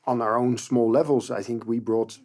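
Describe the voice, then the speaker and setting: A man with a mellow, dark-toned voice talks things through in a relaxed panel conversation. He speaks reflectively, pausing on fillers and restarting his sentences as he thinks. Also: medium pitch.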